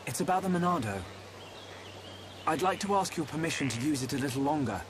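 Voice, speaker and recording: An actor playing a thoughtful, earnest young hero in a video game, polite and a little hesitant. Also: male voice, fairly high pitch, expressive, a little fast, noisy background, natural voice. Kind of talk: dramatic video game cutscene acting. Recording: clear background music.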